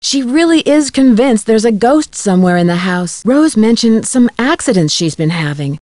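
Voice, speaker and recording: A woman's voice: a little high, animated, normal speed, darkish tone, no room sound, clean studio sound, close to an everyday voice. An actress playing a curious, polite young female amateur detective in a video game, friendly, inquisitive and articulate.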